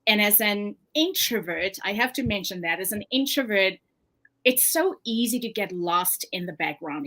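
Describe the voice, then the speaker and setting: A woman's voice: medium pitch, a bit bright. A woman with a South African accent, a guest author sharing personal experiences in a casual interview, earnest and given to laughing as she talks.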